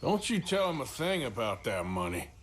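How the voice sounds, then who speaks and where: An actor playing a gruff, sardonic outlaw with an American accent in a video game. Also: male voice, mid-range voice, big pitch swings, moderate pace, slight background noise, close to an everyday voice. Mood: dry. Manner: sardonic, folksy. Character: gruff wry outlaw man, reluctant hired hand. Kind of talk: video game cutscene acting.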